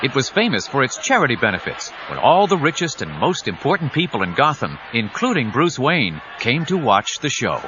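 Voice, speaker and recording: A man's voice: mid-range voice, big pitch swings, moderate pace, few pauses, bright, forceful tone, slight background noise. A man narrating an audio drama in an emphatic, suspenseful storytelling style. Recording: dull 1990s cassette audio with music.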